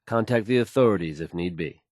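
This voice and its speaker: Guy doing a confident smooth radio dj for a game, lowish voice, easy patter, dry studio sound. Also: brisk, a little mellow, no echo, natural voice. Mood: steady, cautioning. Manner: self-assured, fluent, easygoing announcer delivery.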